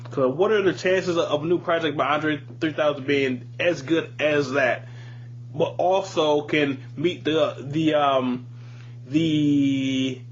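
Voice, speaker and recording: A man co-hosting a podcast, chatting casually about music in a slangy, profane, emphatic way. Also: on the high side. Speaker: podcast co-host. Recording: dull lo-fi audio, audible background noise.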